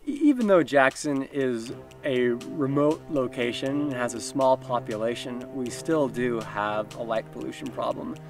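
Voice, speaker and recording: A man's voice: medium pitch, a little slow, creaky, hardly any pauses. A man explaining things in a documentary interview. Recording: music behind.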